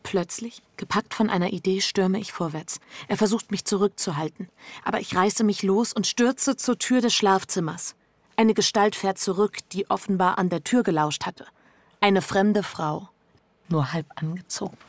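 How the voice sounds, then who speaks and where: Actress as hushed, creaky-voiced anxious wife. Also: female voice, mid-range voice, no echo, natural voice. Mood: restless, agitated. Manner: introspective, hushed inner monologue with halting dialogue. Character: anxious, guilt-ridden adulterous wife, dazed and restless. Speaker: lead actor and first-person narrator. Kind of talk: intimate literary radio drama narration.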